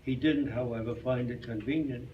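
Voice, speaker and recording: A man's voice: medium pitch, steady tone, normal speed, phone-quality sound. A man giving a staged reading of a humorous memoir in a club hall. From Brooklyn, New York. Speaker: reader at a community readers theater. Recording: distant mic, noisy hall sound.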